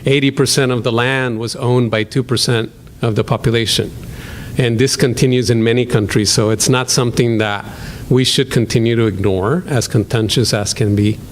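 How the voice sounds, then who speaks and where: A man with a Salvadoran Spanish accent introduces a guest speaker at a university talk. He speaks at an ordinary pitch, pausing often with ums and starting sentences over. A faint hum runs behind him. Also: steady tone. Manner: hesitant, many ums and restarts. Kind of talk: lecture hall introduction.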